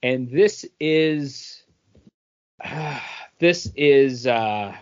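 A man co-hosting a comic book podcast, chatting casually and haltingly as he thinks aloud. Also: medium pitch, lively intonation, smooth. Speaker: podcast co-host reviewing comic books. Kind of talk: casual chat. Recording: dull, band-limited audio.

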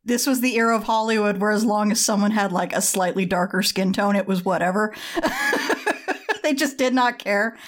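A woman's voice: medium pitch, normal speed. A woman on a casual movie podcast, giving blunt, jokey commentary laced with swearing. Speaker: podcast guest.

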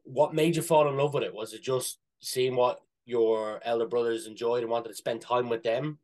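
A man's voice: medium pitch, fairly even intonation, moderate pace, darkish tone. Male podcast host. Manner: friendly, loosely phrased questions. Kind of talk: interview questions.

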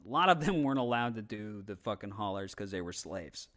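A man in casual, opinionated podcast talk, halting and rambling, with frequent swearing. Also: on the low side, lively intonation, fairly bright tone. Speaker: podcast panelist.

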